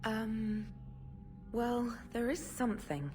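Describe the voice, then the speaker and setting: An earnest comms specialist. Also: female voice, fairly high pitch, lively intonation, slow pace, a little mellow, faint music behind. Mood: tentative, earnest. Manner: tentative, earnest, polite. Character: earnest young female communications specialist, modest and deferential. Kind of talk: dramatic video game acting.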